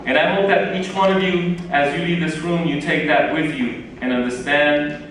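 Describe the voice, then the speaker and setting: A man's voice: a little high, steady tone, moderate pace, a little hiss or hum. A man emceeing a graduation, encouraging and drawing responses from the audience. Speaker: ceremony emcee. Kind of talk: introducing speakers at a graduation. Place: large theater. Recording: echoey theater from far off, with a loud crowd.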